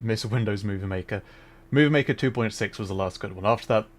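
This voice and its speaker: A man's voice: lowish voice, quick pace. A young adult man chatting casually while streaming a game, rambling and correcting himself, with frequent laughs.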